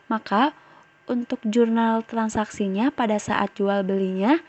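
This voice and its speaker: A woman's voice: medium pitch, darkish tone. A young woman delivering a scripted explanatory presentation as a student. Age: young adult. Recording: dull, lo-fi microphone sound.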